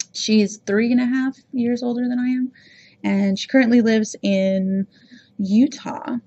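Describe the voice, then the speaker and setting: A woman's voice: mid-range voice, vocal fry, a little mellow, muffled recording. A woman from Rhode Island with no regional accent, hosting a podcast and talking casually about herself with a chatty, self-reflective manner. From Rhode Island, USA. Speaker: podcast host. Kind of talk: casual personal monologue.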